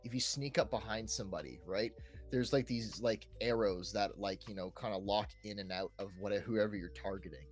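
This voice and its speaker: A man's voice: lowish voice, moderate pace. A man co-hosting a gaming podcast, chatting casually and loosely, often tagging phrases with checking words and fillers. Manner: informal, peppers talk with "like", "right", "you know". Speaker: podcast co-host discussing video games. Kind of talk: casual rambling chat. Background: background music.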